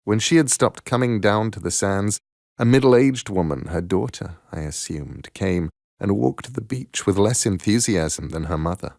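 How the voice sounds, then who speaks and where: A man narrates a literary tale in a low voice with a creaky, gravelly edge. His delivery is measured and expressive, recorded close and dry. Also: dry recording. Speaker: storyteller, narrator. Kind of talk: dramatic reading of a short story.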